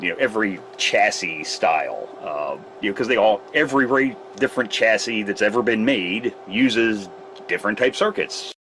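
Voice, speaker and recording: Man, low voice, rambling workshop demo, faint hiss behind. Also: fairly bright tone. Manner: rambling, self-correcting. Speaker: radio repair technician. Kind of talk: casual workshop demonstration. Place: repair shop workbench.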